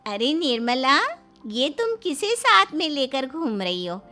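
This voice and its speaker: A nosy middle-aged lady friend voiced by a woman in a cartoon tale, puzzled and teasing. The voice is highish and lively, over a music bed. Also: measured pace, heavily acted. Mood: curious, teasing. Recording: music behind the voice.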